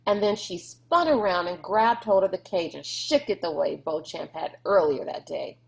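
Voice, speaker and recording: A woman's voice: on the low side, normal speed, vocal fry, low-quality recording. A woman reading a storybook aloud as narrator. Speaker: read-aloud reader.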